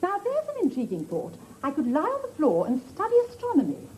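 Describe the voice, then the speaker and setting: A woman's voice: high voice, huge pitch swings, a little fast. A middle-aged woman in a sitcom role, talking in a theatrical, playfully mock-regal manner. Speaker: sitcom lead. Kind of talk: 1980s British sitcom acting. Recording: studio audience laughter and noise.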